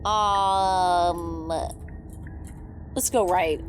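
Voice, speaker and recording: A woman's voice: lowish voice, steady tone, extremely slow. A woman doing casual let's play commentary, reacting aloud in a playful, chatty way. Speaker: gaming video creator. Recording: game music behind the voice. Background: video game music.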